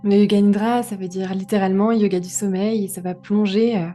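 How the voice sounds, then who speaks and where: A woman guiding a relaxation meditation, giving gentle, unhurried instructions with pauses. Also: mid-range voice, steady tone, soft background music. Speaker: yoga nidra guide.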